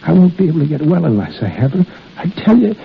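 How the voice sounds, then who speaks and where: Gravelly, animated wounded soldier. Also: male voice, on the high side, quick pace. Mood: desperate, pleading. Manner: plainspoken, intense, wry. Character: wounded soldier in love, plainspoken, wry, ardent. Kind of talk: 1930s-40s radio drama acting. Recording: tinny, hissy old radio broadcast.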